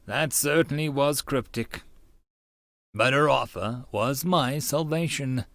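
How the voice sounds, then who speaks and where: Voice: mid-range voice, a bit bright, dry recording. An audiobook narrator giving a theatrical, emphatic first-person reading of an anime-style story. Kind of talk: dramatic first-person reading of a visual novel.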